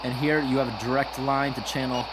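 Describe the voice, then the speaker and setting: A man's voice: mid-range voice, fairly even intonation, a little fast. A man casually demonstrating a product in a plain, informal, explaining way. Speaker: gadget reviewer. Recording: noisy handheld amateur recording.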